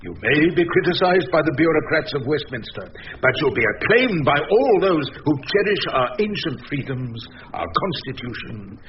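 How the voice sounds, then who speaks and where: An actor playing a defiant, witty older English barrister in a radio drama, droll and pompously eloquent. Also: male voice, on the high side, very animated, normal speed, few pauses, dull audio, background noise. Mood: defiant, oratorical.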